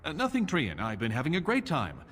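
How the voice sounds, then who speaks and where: An actor playing an easygoing younger dwarf prince in a video game, with a dry, sarcastic manner. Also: male voice, on the high side, wildly swooping pitch, fairly quick, a bit bright, exaggerated voice. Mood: sardonic.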